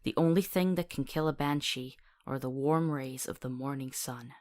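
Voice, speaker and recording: A woman's voice: rumbling low, moderate pace, darkish tone. An actress playing a sardonic, practical woman who travels as a companion in a fantasy visual novel, with a wry manner. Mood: solemn reading.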